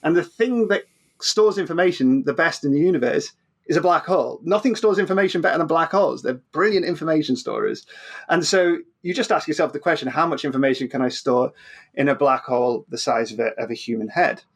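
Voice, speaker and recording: A man's voice: on the high side, a little fast. A man explaining science informally as an interview guest, often repeating words and restarting, checking in with "right?".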